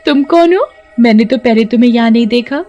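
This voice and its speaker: A sweet, lonely little rich girl from a cartoon, acted by a woman. The voice is high, childlike and plaintive, with soft music behind it. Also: brisk, muffled recording, lightly acted. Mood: curious. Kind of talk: Hindi cartoon voice acting.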